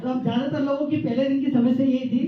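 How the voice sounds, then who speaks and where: A man's voice: extremely high pitch, fairly even intonation, fast, a crowd in the background. A man giving a playful, mock-lecturing humorous speech to colleagues, repeating phrases for comic effect. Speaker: training participant performing a humorous recap. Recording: distant, echoing hall recording, slightly muffled.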